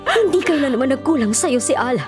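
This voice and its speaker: A stern, scolding mother of grown children speaks in a high, very animated voice. It is reproachful radio-melodrama acting with music underneath. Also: brisk. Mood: scolding, exasperated. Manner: reproachful, admonishing delivery.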